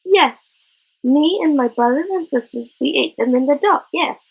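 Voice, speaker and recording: A woman's voice: high voice, expressive, moderate pace, creaky, a little mellow, pitched above the performer's own voice, low-quality recording. A woman voicing a cheerful, innocent little boy who is earnest and eager to please, in a storybook reading.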